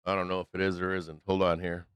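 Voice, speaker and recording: A hoarse middle-aged man with a Midwestern American accent hosting a live trading stream, rambling casually, swearing constantly and filling pauses with ums. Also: low-pitched, little pitch movement, fairly bright tone, no echo. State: hoarse, losing his voice.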